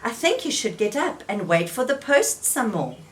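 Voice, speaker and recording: A woman voicing a kind, eager male frog in a children's storybook reading, bright and urgent. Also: on the high side, very animated, moderate pace.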